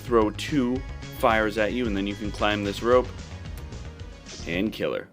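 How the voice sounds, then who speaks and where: Male playthrough host, on the low side, casual and explanatory, game music underneath. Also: a little slow. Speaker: gaming video host.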